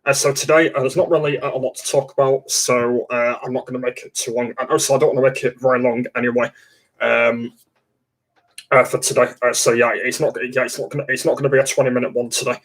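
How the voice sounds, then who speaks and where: A man's voice: mid-range voice, flat delivery, fast. A young man, chatting casually on a home livestream and stumbling through constant "uh" fillers and restarts. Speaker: livestream host. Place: dark room at home, indoors.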